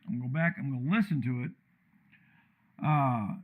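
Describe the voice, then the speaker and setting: A man live-streaming while he tunes his microphone, talking in a blunt, loud, rambling way with a deep, commanding voice, in an untreated room with a computer fan. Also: moderate pace, narrow, tinny audio. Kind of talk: casual live-stream rant.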